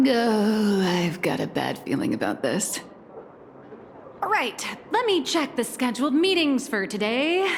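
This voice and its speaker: A woman's voice: fairly high pitch, very animated, slow, slight background noise, heavily acted. An actress playing a shrewd, playful, bossy female magician in a game, theatrical and knowing in her delivery. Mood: uneasy. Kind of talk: game voice acting. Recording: reverb, faint music behind.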